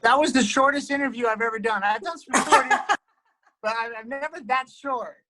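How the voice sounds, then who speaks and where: A man in his fifties, a guest on a video call, relaxed and joking. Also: extremely high pitch, fast talker. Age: 50s. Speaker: guest musician. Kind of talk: casual interview.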